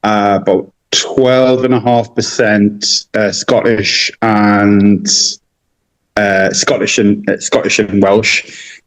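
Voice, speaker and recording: A man's voice: on the low side, level tone, slow, poor audio. A man from North-East England, chatting as a podcast guest, hesitant with many fillers and restarts. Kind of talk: casual interview chat.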